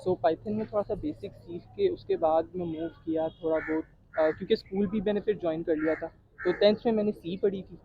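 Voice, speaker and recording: A man's voice: high-pitched, animated, fairly quick, gravelly, phone-quality sound, a little hiss or hum. A young man of about nineteen with a Pakistani accent, talking casually as an interview guest and mixing in English. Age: late teens, 19.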